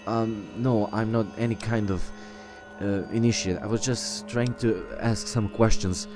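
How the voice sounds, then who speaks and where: A man improvising in character in a video game roleplay, speaking in a halting, hesitant way. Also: fairly low pitch, steady tone. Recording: game music behind the voice.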